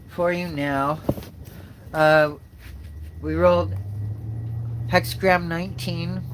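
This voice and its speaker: A man with a stuffed-up nose from a cold, hosting a spiritual livestream, speaking slowly and haltingly with many fillers, then reading a passage aloud. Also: a little high, fairly bright tone, a little hiss or hum, low-quality recording. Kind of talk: slow reflective spiritual talk and reading aloud.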